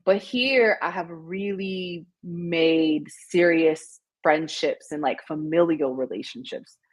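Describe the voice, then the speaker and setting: Low-voiced american woman guest, reflective, lots of you know and like. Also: slow. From United States. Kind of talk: casual interview conversation.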